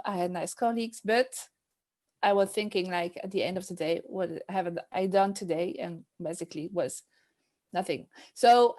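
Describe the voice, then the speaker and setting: A woman's voice: mid-range voice, darkish tone. A middle-aged woman from France with a French accent, speaking as a maternity nurse in an interview, hesitant with many fillers and restarts. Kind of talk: casual interview talk.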